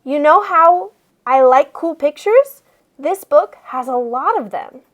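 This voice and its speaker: A woman's voice: sits high, lively intonation, slow, highs cut off. A woman voicing an easygoing, kind young schoolboy who explains things casually and earnestly.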